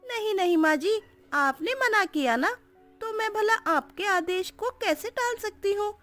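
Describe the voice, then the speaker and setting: Woman doing a high girlish young bride, sweet and wheedling, lively sing-song pitch, cartoon story. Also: measured pace, soft background music, full character voice. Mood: sweetly stubborn. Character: cheeky young daughter-in-law, sweetly stubborn.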